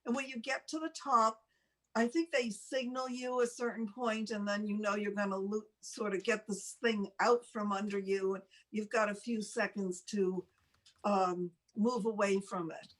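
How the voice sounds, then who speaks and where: An older woman telling a personal story casually, in a halting, rambling, self-deprecating way, as a talk show host. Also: mid-range voice, fairly even intonation.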